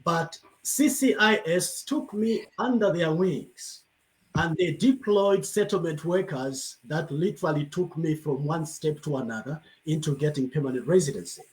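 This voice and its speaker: A man from Zambia, a pastor, speaking in a somewhat higher voice. He tells his story in a measured, grateful manner, like a guest answering on a video call. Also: low-quality recording. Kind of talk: panel conversation answer.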